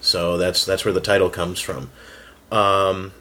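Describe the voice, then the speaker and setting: A man with a fairly low voice talks in a relaxed round-table setting. He explains his writing in a casual way, often halting and hesitating as he picks his words. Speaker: fiction writer on a round-table panel.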